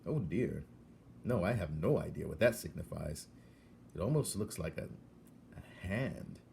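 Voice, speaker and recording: A man's voice: sits low, expressive, measured pace, vocal fry, mellow, slight background noise, heavily acted. Man putting on a fussy, kindly old lady. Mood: fussy, puzzled. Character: elderly British lady, fussy, kindly tea-leaf reader.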